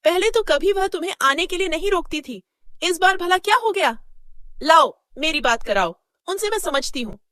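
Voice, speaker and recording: A woman's voice: extremely high pitch, animated, moderate pace, a bit bright, dry recording, studio quality. A woman voicing a fussy middle-aged mother-in-law who dotes on her daughter, bossy and fussing. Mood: indignant.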